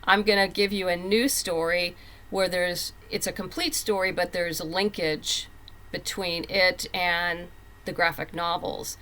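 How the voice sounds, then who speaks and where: A woman with a fairly low voice speaks as an interview guest. She talks in a conversational way, like a writer explaining her work, and slips into quoting herself and others as she tells it. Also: steady tone, measured pace, fairly bright tone. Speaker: comic book writer and guest.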